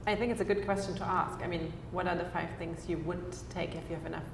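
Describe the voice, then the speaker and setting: Woman moderating a talk, lowish flat voice, echoey hall. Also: gravelly, slight background noise. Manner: measured, asks questions, sums up. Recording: echoey hall, faint ambience.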